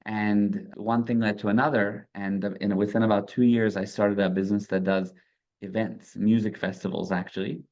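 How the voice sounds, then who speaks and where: A man's voice: on the low side, little pitch movement, normal speed, a little mellow, muffled recording. A man in his thirties with a mixed Israeli-American accent, a native Hebrew speaker, talking earnestly as a guest in a casual interview. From South Africa. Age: 30s. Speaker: guest entrepreneur.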